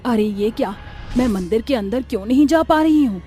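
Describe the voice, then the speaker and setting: A woman's voice: a little high, lively intonation, normal speed, dark tone, soft background music, lightly acted. A woman voicing a devoted, protective older mother-in-law turned witch in a cartoon story. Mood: anguished, determined. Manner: caring, earnest, dramatic.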